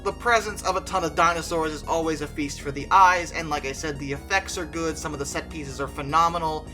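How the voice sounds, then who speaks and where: Bright, emphatic reviewer over music. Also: mid-range voice, fairly even intonation, a little fast. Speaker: video essayist. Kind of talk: opinionated movie review commentary.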